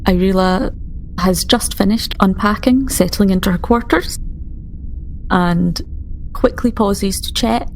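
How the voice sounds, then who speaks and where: A woman's voice: medium pitch, unhurried. A woman playing a tabletop role-playing game, narrating what her character does. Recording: noisy home recording.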